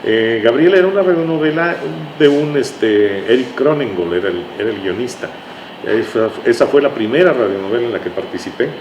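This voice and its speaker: A man's voice: mid-range voice, fairly quick. A man with a Mexican accent from Tabasco, an interview guest reminiscing conversationally and often searching for words. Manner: hesitant, searching for words, repeats phrases. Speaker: interview guest, writer and radio drama veteran. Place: indoors in a bookstore. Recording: roomy echo, faint background noise.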